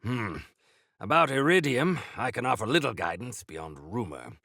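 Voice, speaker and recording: An actor playing a defiant, feisty old exiled warrior-engineer in a video game, gravelly and theatrical, with grandiose, archaic turns of phrase. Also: male voice, medium pitch, sing-song, moderate pace, pushed and bright, no echo, clean studio sound, full character voice. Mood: measured, scheming. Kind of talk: video game character acting.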